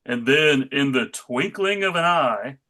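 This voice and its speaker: A man narrating a live comic book read in a wry, deadpan way. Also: mid-range voice, measured pace.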